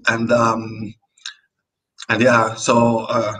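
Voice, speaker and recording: An Italian man speaks English with a clear Italian accent, his voice sitting at an ordinary middle pitch. He chats casually as a guest artist. He talks hesitantly, pausing on fillers and restarting his sentences as he goes. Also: completely flat delivery, a bit bright. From Italy. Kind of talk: casual interview chat.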